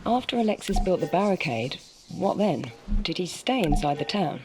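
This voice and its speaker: A woman's voice: on the low side, big pitch swings, normal speed, noisy background. A woman voicing an inquisitive journalist who asks polite but pointed questions in a game cutscene. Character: inquisitive female journalist, persistent and precise. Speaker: journalist interviewer. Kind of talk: voiced game cutscene dialogue.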